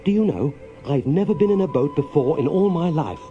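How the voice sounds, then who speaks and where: Man voicing a high-pitched, wide-eyed little storybook mole. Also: expressive, brisk, lo-fi sound, music in the background, natural voice. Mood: delighted. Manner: eager, innocent, hesitant questioning. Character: shy, wide-eyed, easily delighted little male mole. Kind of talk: children's storybook character reading.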